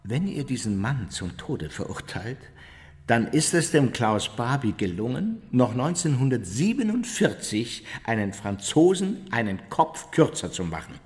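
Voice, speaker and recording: A man's voice: mid-range voice, big pitch swings, unhurried, a little mellow, husky. A man acting in a radio play as a boastful former Nazi officer who recounts his wartime exploits conversationally, self-satisfied and acting out what was said. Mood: boastful, animated. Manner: self-satisfied, vivid storyteller who acts out quotes.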